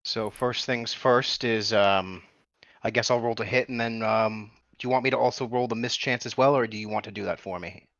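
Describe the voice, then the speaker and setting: A man's voice: on the low side, fairly even intonation, normal speed, a bit bright, no room sound. A man playing in a tabletop game, talking through his moves step by step. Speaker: player. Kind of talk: casual game chat. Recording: dull, dry lo-fi mic.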